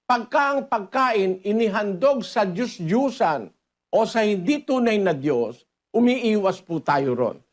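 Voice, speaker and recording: Filipino male teacher, notably high voice, explaining things conversationally. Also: very animated, slow. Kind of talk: informal religious teaching with anecdotes.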